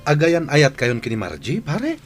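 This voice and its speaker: A man's voice: fairly high pitch, huge pitch swings, moderate pace, faint music behind. A man playing a joking, nudging best buddy in an Ilocano radio comedy drama, with an Ilocano accent. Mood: teasing, advising.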